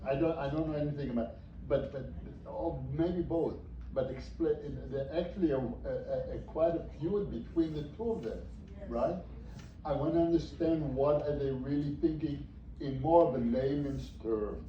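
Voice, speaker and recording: A man in the audience asking a halting question at a theater talkback, with many restarts. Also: a little high, slight background noise. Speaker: audience member. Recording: echoey, distant, dull room recording.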